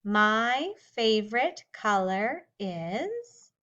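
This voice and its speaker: A woman teacher giving a slow, clearly spoken English lesson to young learners, drawing out each word. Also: fairly high pitch, very animated, pushed and bright, muffled recording.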